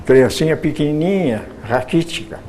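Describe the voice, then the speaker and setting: A man's voice: on the high side, expressive, dark tone, low-quality recording. Older guy talking about his radio days, stumbles and repeats words a lot, faint hiss behind. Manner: halting, many repeated words and restarts. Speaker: retired radio producer. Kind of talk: reminiscing in an interview.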